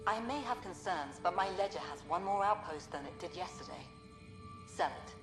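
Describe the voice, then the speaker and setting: A woman's voice: lowish voice, animated, moderate pace, roomy sound, over music, natural voice. An actress playing a stern, pragmatic woman who directs a colony, speaking with dry skepticism. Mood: wry.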